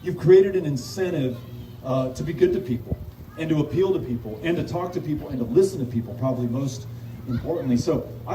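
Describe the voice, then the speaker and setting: Man, slightly high voice, earnest town hall speaker, halting off-the-cuff delivery, roomy distant sound. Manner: earnest, halting with repeated words. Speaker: political speaker answering audience questions at a town hall. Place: park pavilion, community meeting. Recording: roomy, distant live-stream sound, loud background noise.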